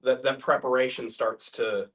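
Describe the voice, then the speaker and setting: A man taking part in a group discussion, reflecting philosophically in a halting way as he works through his thoughts. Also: medium pitch, steady tone, a bit bright. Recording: group in room, distant mic.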